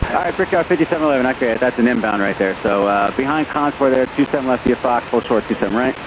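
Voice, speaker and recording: A man's voice: mid-range voice, lively intonation, fast, lo-fi sound. A man working as an air traffic ground controller, giving clipped procedural taxi instructions over radio with informal touches. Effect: aviation radio transmission.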